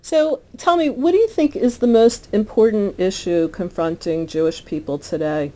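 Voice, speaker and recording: A woman's voice: mid-range voice, moderate pace, mellow. A woman interviewer asking short, prepared questions in a telephone interview. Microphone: telephone interview recording.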